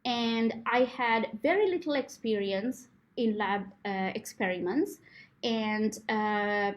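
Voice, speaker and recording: A woman's voice: a little high, slow pace. A woman in her thirties from Sri Lanka with a Sri Lankan accent, a postdoctoral researcher giving informal advice to prospective students, earnest and full of fillers. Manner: frequent fillers, earnest advising tone.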